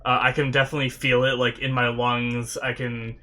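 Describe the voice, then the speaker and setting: Man, reaction video host, sniffly, recovering from sore throat, rambling casual chat, bright tone. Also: medium pitch, level tone, normal speed. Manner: rambling, loose, talks with many fillers.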